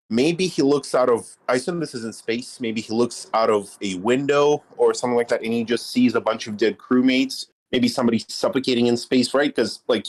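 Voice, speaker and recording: A man's voice: medium pitch, poor audio. A man giving casual script feedback, offering his suggestions tentatively. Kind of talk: casual spoken critique.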